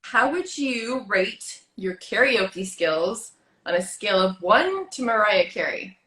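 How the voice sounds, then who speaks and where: Bright giggly woman in an echoey room. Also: medium pitch, sing-song. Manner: chatty, giggly, asks quick-fire questions. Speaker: host. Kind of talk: casual video chat interview.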